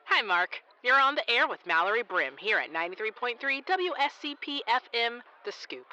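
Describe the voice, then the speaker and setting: An actress playing an upbeat, wry local radio host interviewing on air in an audio drama. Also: female voice, on the high side, sing-song, a little fast, bright, forceful tone, rough recording. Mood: chipper, curious.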